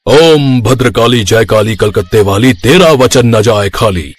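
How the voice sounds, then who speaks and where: A man's voice: mid-range voice, lively intonation, unhurried. A man voicing a reassuring, solemn tantric holy man in a cartoon moral story. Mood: chanting.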